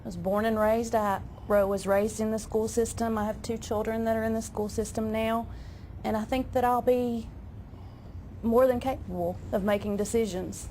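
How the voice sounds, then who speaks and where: A woman's voice: on the high side, flat delivery, moderate pace, warm tone, low-quality recording. Thirties woman talking up her council run, friendly, some ums, faint hiss. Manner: plain and friendly, with "um" fillers. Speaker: city council candidate. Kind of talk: guest on a local talk show.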